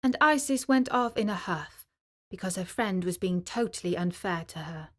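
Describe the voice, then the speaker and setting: A woman storyteller narrating a children's story in an expressive, clearly articulated storybook manner. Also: fairly low pitch, moderate pace.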